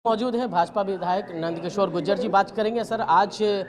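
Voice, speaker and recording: Halting male reporter in crowd noise. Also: sits high. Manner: halting, hesitant delivery. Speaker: field reporter. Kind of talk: live on-location interviewing. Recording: loud crowd chatter behind.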